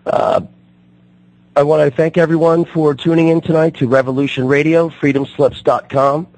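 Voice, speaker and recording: A man hosting a radio talk show, his voice still recovering from being lost, talking haltingly with many fillers and restarts. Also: on the high side, little pitch movement, a little mellow, low-quality recording.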